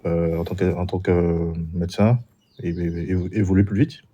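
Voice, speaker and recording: A man's voice: low-pitched, fairly even intonation, moderate pace, mellow. A man from Martinique answering questions in an in-character job interview, hesitant and full of fillers and restarts. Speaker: job applicant in a roleplay server.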